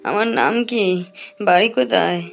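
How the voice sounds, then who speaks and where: Woman voicing a creaky, dazed young man. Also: mid-range voice, expressive. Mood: dazed, bewildered. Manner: bewildered, halting, questioning. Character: dazed, naive young man who lost his memory. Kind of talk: cartoon dialogue.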